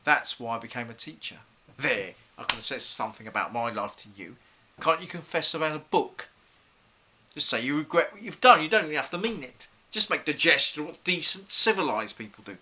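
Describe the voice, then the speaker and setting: Sharp, exasperated male head teacher, played by an actor. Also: fairly high pitch, animated, fairly quick, slight background noise. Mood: pleading, disappointed. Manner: accusing, formal, clipped rhetorical questions. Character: stern, exasperated head teacher scolding a silent pupil. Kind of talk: theatrical monologue for an acting showreel.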